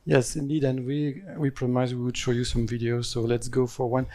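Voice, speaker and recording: A man co-presents at a business conference. His voice sits at an ordinary pitch and barely rises or falls as he introduces figures and a video in a plain, matter-of-fact way. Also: level tone, normal speed, mellow.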